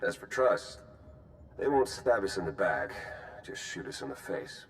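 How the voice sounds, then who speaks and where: A man's voice: deep voice, sing-song, measured pace. A man playing a dry, wry spy arranging allies over a comm in a game cutscene.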